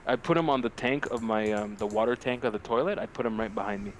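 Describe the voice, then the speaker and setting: Male podcast co-host, mid-pitched, slangy and profane, jokey chat, audible background noise. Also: fast. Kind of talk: casual podcast chat, weird news banter.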